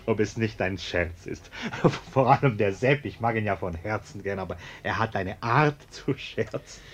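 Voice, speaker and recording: An actor playing an anxious, ingratiating middle-aged homeowner in a German radio play, over-polite, hesitant and falsely jovial. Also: male voice, fairly low pitch, moderate pace, slightly rough. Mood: nervous joviality. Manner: hesitant, over-polite, chummy, nervous chuckling.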